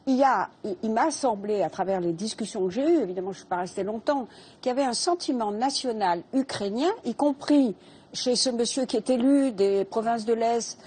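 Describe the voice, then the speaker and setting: An older woman speaking as a guest in a televised debate, measured and hesitant, with frequent fillers as she builds her argument. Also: on the high side, breathy edge. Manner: measured, hesitant with many fillers, soft laughing start.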